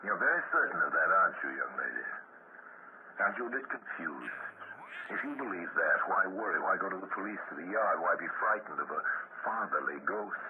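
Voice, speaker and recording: A man's voice: deep voice, big pitch swings, a little fast. A man narrating an old radio horror play in second person, insinuating and building suspense. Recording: dull, hissy old radio recording.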